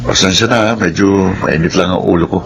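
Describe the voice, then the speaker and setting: An actor playing a scheming, hot-tempered rich son in a melodrama, domineering and threatening in manner. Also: male voice, deep voice, fairly even intonation, fairly quick, vocal fry, fairly bright tone, a little hiss or hum. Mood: falsely friendly, coaxing. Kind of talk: Filipino radio-style melodrama acting.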